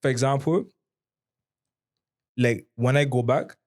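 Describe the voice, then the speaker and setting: A man's voice: mid-range voice, fairly even intonation, takes it slowly. A man from Saint Martin with a Caribbean accent, chatting casually and reflectively in informal slang as a podcast co-host. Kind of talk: casual conversation.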